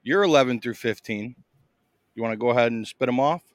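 A man's voice: medium pitch, fairly quick, no echo. A man co-hosting a casual fantasy football podcast, loose and chatty, with frequent fillers and laughs. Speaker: podcast co-host. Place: in Boston, eating a meal while recording.

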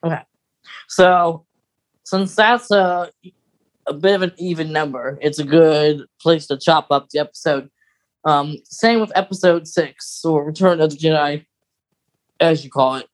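A man co-hosting a podcast in casual chat, measured and thinking aloud as he goes. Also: on the high side. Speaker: podcast co-host.

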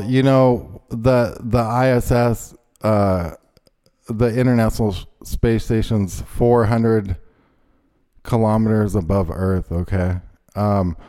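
A man with a low, mellow voice chats on a podcast. He drawls through his points and leans on fillers as he goes. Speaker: podcast co-host. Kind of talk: casual conspiracy talk.

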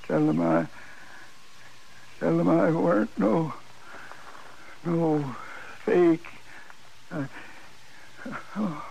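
An actor playing a dying old American gunfighter in a 1950s radio western, speaking weakly in halting, broken phrases, proud and desperate to be believed. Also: male voice, a little high, vocal fry, darkish tone, exaggerated voice, low-quality recording. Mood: fading. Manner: halting, broken, laboured speech. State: weak and dying. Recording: old radio recording with faint noise.

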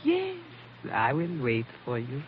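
A woman's voice: rumbling low, huge pitch swings, takes it slowly, soft background music, exaggerated voice. An actress playing a poised, alluring foreign woman who speaks careful, formal English in a 1940s radio drama. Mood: poised, coy. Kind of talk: 1940s radio adventure acting. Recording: dull, noisy old radio recording.

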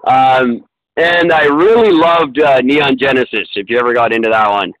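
A man chatting casually on a podcast, his voice bright and a little forceful. He rambles and jokes at his own expense, full of ums and restarts. His pitch sits at an ordinary middle. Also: normal speed, no room sound, muffled recording. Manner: rambling, self-deprecating, many fillers and restarts. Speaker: podcast co-host. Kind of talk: casual chat.

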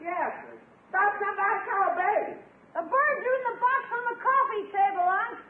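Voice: extremely high pitch, fairly quick. A performer playing a wisecracking young American nephew in a 1950s radio comedy. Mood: wry, teasing. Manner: wry, cheeky wisecracks. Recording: narrow, tinny 1950s radio recording.

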